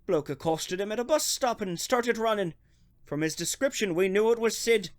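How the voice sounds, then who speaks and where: A man's voice: sits high, normal speed, lightly acted. A man playing an officious, suspicious working-class police constable in a radio drama, blustery and accusing. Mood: scornful.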